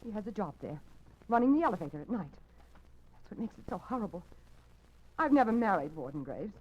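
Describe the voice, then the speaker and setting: An actress playing a frightened young woman who speaks anxiously and stammers in a 1940s radio drama, heard through a dull lo-fi broadcast recording. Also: fairly high pitch.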